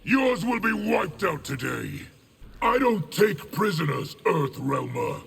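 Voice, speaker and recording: An actor playing a ruthless, proud male warlord general in a video game, boastful and threatening, often shouting. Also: sits high, big pitch swings, slow pace, vocal fry, husky, full character voice. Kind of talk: video game character voice acting.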